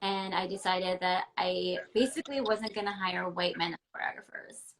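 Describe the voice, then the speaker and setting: A woman's voice: on the low side, fairly even intonation, normal speed, vocal fry, fairly bright tone, a little hiss or hum, poor audio. Candid woman, emphatic and chatty. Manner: candid and emphatic, many fillers like "like" and "um". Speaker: interview guest, ballet dancer. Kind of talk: casual interview over a video call. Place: home, ground floor apartment.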